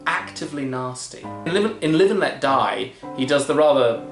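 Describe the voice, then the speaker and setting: A man's voice: mid-range voice, expressive, moderate pace, roomy sound, music in the background. A man co-hosting a casual film podcast, talking wryly and quoting lines from the movie. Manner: wry, conversational, quotes film lines. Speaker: podcast co-host.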